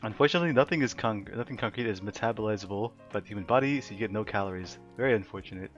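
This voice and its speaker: A man's voice: lowish voice, racing, fairly bright tone. Giggly young male streamer, chatting loosely. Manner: giggly, laughs often and rambles with fillers. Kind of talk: casual livestream chat reading chat aloud. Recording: slightly dull lo-fi stream audio with faint music. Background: faint background music.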